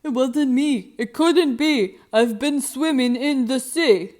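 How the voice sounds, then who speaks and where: A woman's voice: high-pitched, slow pace, a little mellow. Woman voicing a defensive storybook animal. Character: storybook animal, alligator or turtle, pleading innocence.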